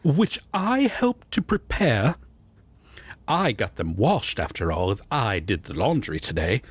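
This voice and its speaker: A man playing a prim, indignant yet devoted angel roommate in a romantic audio roleplay, with a breathy, husky voice and fussy, formal diction. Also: mid-range voice, very animated, measured pace, dry recording. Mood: flustered, defensive.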